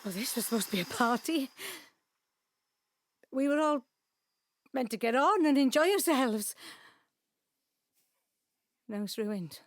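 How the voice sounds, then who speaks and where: A wounded, bitter daughter in an audio drama. Her highish voice swings widely with emotion, captured in a close, dry sound. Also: lively intonation, measured pace, long pauses, no room sound. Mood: distraught. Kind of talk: naturalistic audio drama acting.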